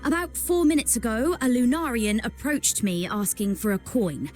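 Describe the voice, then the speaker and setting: A woman's voice: on the high side, sing-song, moderate pace, darkish tone, soft background music. A woman playing a curt young soldier on guard duty in a video game, brusque and clipped like a military report. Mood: dutiful. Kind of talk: scripted video game acting.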